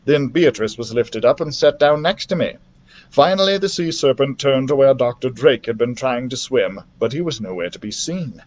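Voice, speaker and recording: Animated man reading a storybook aloud. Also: mid-range voice, moderate pace, muffled recording. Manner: theatrical, expressive. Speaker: library storytime reader. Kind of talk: dramatic read-aloud of a children's adventure story.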